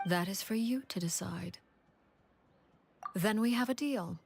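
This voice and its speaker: A woman voicing a cool, intimidating, commanding negotiator in a video game, speaking in a measured, formal, aloof way. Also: mid-range voice, vocal fry, darkish tone. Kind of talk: video game character dialogue. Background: game music.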